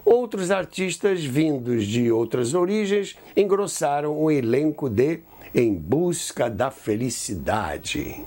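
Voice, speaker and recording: Animated Brazilian man telling stories, higher-pitched. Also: slow pace. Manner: animated storyteller, rhetorical questions and asides. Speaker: podcast host. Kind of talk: conversational storytelling about radio history.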